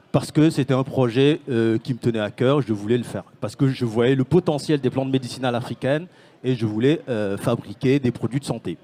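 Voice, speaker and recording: A man's voice: medium pitch, a little mellow. A man from Senegal, a startup founder, speaking on a conference panel with many fillers and restarts. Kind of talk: panel talk.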